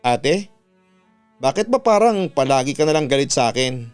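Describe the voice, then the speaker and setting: A Filipino man voicing a gentle, hurt younger sister who speaks earnestly and pleadingly in a narrated radio drama story. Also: medium pitch, animated, normal speed, clear, resonant tone, faint music behind, lightly acted. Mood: sad, questioning. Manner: earnest, plaintive.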